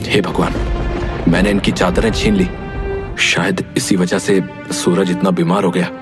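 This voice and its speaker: A man's voice: low voice, flat delivery, a little slow. Man playing a haughty, whining young prince over music. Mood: remorseful. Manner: petulant, imperious. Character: spoiled, haughty young prince, whiny and demanding. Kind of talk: Hindi animated moral story dialogue. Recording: music under the voice.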